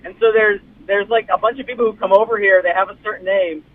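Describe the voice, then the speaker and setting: A young man joins a casual chat as a guest, explaining himself politely with small hesitations. His voice sits high, and it comes through narrow and tinny, like a phone line with a faint hiss underneath. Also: fairly even intonation, fast talker. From United States.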